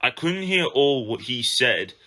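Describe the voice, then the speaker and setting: A man's voice: mid-range voice, very animated, unhurried, pushed and bright, exaggerated voice. A man voicing a proud, plain-spoken diesel engine in a children's storybook, with a blunt manner. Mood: amused.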